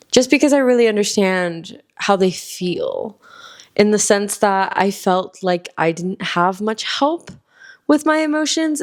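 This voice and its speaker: A young woman in her early twenties, from Miami, chatting casually as a solo podcast host, rambling with lots of ums and likes. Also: on the low side. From Miami, Florida.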